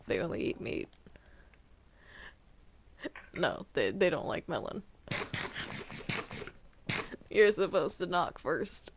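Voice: medium pitch, big pitch swings, takes it slowly, long pauses, background noise. A person playing a defensive, reluctant cartoon demon in an improvised Minecraft roleplay, indignant and sheepish by turns.

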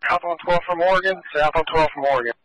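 A man's voice: on the high side, fast talker, bright, forceful tone, no room sound, rough recording. A man working as a police dispatcher, relaying locations and descriptions over the radio in clipped, repeated phrases. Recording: police scanner radio transmission.

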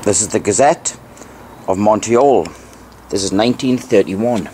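A man with a lowish voice presents informally, reading printed text aloud. He is deliberate and repeats phrases to stress them. The recording is homemade, with some light noise behind him. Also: mellow. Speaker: amateur video presenter. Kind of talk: informal show-and-tell narration, reading aloud from newspapers.

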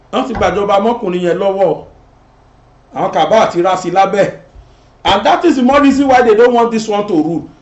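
A middle-aged Nigerian man hosting a political commentary show, talking animatedly and gesturing as he sits in a studio. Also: sits high. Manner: animated, switching between Yoruba and English, gesturing. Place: indoor studio set. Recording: roomy, dull lo-fi sound.

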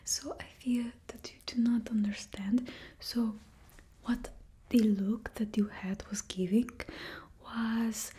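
A woman's voice: fairly high pitch, little pitch movement, slow pace, warm tone, slightly rough, heavily acted. A young woman voicing a bossy female stylist to supervillains with a put-on Russian accent, whispering blunt remarks close to the mic. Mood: whispery. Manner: blunt and condescending. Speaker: ASMR creator. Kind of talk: ASMR roleplay. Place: indoor studio with painted backdrop. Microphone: close ASMR mic.

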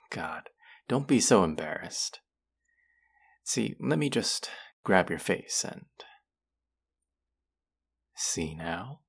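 A man's voice: deep voice, lively intonation, very slow, creaky, long pauses, breathy edge, exaggerated voice. A man acting a condescending, mocking young twin brother in a roleplay audio drama, with a teasing, sarcastic drawl. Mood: mocking, scornful.

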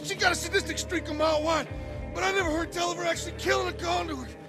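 An actor playing a desperate, guilt-ridden convict informant in a video game, pleading and defensive. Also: male voice, very high-pitched, steady tone, fast, creaky, over music. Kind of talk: cinematic video game acting.

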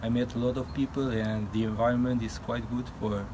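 A man in his twenties from Albania, a student giving measured interview answers outdoors. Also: medium pitch, little pitch movement, noisy background. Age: 20s. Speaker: exchange student.

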